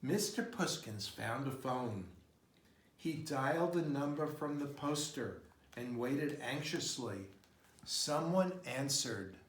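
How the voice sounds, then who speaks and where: A man reading a children's picture book aloud in an expressive, unhurried storytelling style. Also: mid-range voice. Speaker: storybook reader. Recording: roomy, echoing sound.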